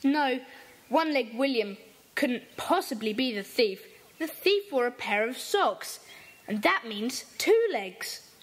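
A woman's voice: on the high side, expressive, takes it slowly. An actress playing a decisive young boy who leads a children's club. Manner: decisive, bossy. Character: boy Peter, the group's leader.

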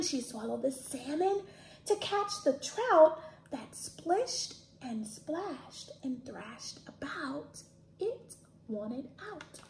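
A woman in her thirties hosting a library storytime, reading aloud to children expressively with dramatic, drawn-out emphasis. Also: high voice. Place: small indoor room, plain wall. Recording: echoey room.

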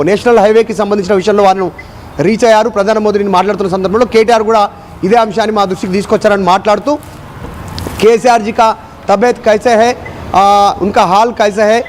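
Guy reporting live news, rattles it off fast, faint street noise behind. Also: high-pitched, darkish tone. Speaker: news correspondent.

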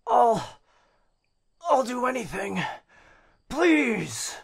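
Casting: male performer as desperate, obsessive shadow villain, shrill and loud, strained, wildly swooping delivery. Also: big pitch swings, very slow, creaky, breathy edge, exaggerated voice. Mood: panicked pleading. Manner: strained, shrieking, pleading. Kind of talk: yandere ASMR roleplay acting.

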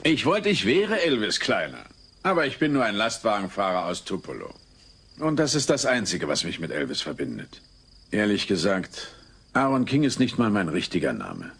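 An actor playing a weary, plainspoken truck driver, exasperated, in a dubbed sitcom audio play. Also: male voice, lowish voice, wildly swooping pitch, moderate pace, a bit bright, a little hiss or hum, full character voice. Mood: weary, frank. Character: weary male truck driver mistaken for a singer.

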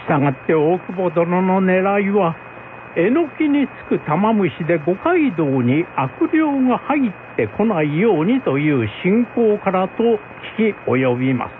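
A man's voice: sits high, big pitch swings, unhurried, voice pushed up. A man voicing a respectful, well-informed samurai retainer who explains in formal old-fashioned speech in a folk tale drama. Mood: deferential. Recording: phone-like band with faint noise.

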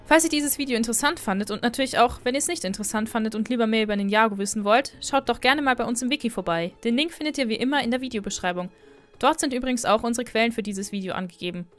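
A woman's voice: fairly high pitch, very fast, a bit bright. A woman host narrating a scripted explainer video in a friendly way. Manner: friendly, apologetic about pronunciation. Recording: voice-over with faint background music.

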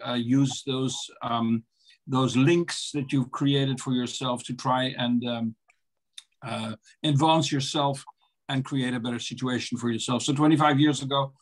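A man in his fifties from the Netherlands with a Dutch accent, a guest on a video call, talking in a measured way with many pauses and fillers. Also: medium pitch, rough recording. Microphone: webcam on a video call.

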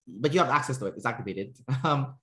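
A man with a mid-pitched voice hosts a casual interview over a video call. He explains in an informal, looping way, restating his phrases as he works toward the point. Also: animated, quick pace, bright, forceful tone. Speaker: interviewer, personality trainer. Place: room at home, indoors.

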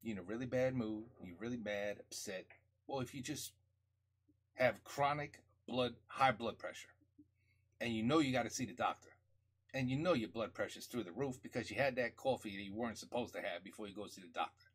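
A man's voice: on the low side, a little fast, breathy edge. A middle-aged man hosting a music reaction video, talking casually and enthusiastically, often repeating himself, on a headset microphone.

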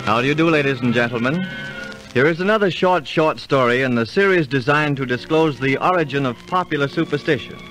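An actor playing a knowing storyteller who explains things formally in 1930s radio style. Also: male voice, mid-range voice, brisk, a bit bright, soft background music, natural voice. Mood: formal, instructive. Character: knowing, instructive male storyteller and dining companion. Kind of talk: 1930s radio narration and acting. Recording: slightly noisy 1930s radio recording.

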